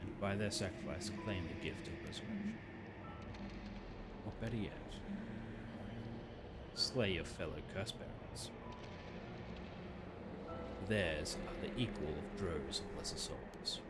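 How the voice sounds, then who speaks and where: Man voicing a low, vengeful curse spirit. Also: big pitch swings, slow, pauses often, very noisy, full character voice. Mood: menacing, commanding. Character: malevolent curse spirit commanding murder, solemn and vengeful. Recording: game music behind the voice.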